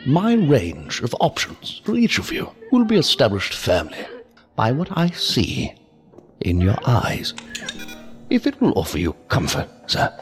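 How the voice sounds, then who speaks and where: A sinister, unhurried gentleman interrogator who is not quite human. His delivery is mannered and mockingly polite, with hugely swinging intonation at a middling pitch. It is sci-fi audio drama villainy, with music underneath. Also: wildly swooping pitch. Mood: coolly condescending. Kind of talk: science fiction audio drama acting.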